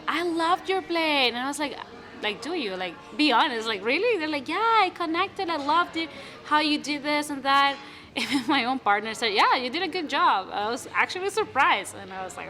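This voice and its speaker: Earnest young woman in a podcast interview. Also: high-pitched. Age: 20s. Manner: earnest, animated when quoting others, many restarts. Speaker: playwright guest.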